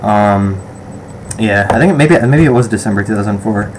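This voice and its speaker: A young man with an upstate New York American accent talks casually to the camera, rambling with frequent ums and restarts. His voice sits a little low. A faint hiss of background noise runs under the recording. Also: normal speed, a little mellow, low-quality recording. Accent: western/upstate New York American. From western New York, USA. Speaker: vlogger. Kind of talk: casual survey vlog.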